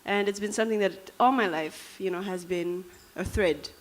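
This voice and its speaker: A woman's voice: medium pitch, normal speed. Reflective woman with a Dutch accent. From Namibia. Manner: reflective, conversational with many fillers. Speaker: speaker. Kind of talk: personal talk to an audience.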